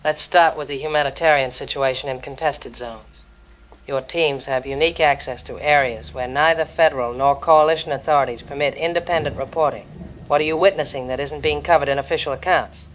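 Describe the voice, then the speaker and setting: A woman's voice: extremely low pitch, steady tone, brisk. A woman acts as a female independent journalist hosting a wartime podcast in an audio drama. She is serious and formal, with a probing interview manner. The sound is slightly dull, over noisy ambience. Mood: serious, inquiring. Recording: dull lo-fi audio with clear background noise.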